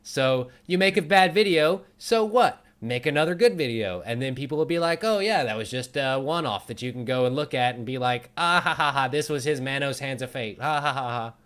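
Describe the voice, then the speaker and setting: A man's voice: mid-range voice, animated, fairly quick, hardly any pauses, a bit bright, clean tone. A man hosting a casual conversational interview, giving encouraging advice in an informal way. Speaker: talk show host.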